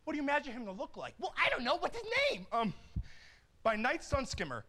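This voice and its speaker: A man playing a panicky film producer who talks fast and frantically. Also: very high-pitched, very animated, sharp tone, exaggerated voice. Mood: frantic.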